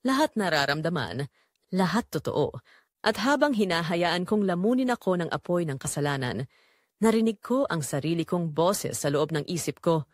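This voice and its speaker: A woman with a Filipino accent narrating a scripted drama in the first person, measured and emotive with pauses. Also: lowish voice, no echo, clean studio sound. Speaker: storyteller.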